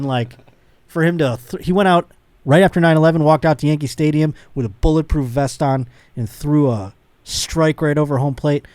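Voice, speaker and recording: A man's voice: on the high side, a little mellow, no room sound. A middle-aged man hosting a comedy podcast, chatting casually in a rambling, profane way.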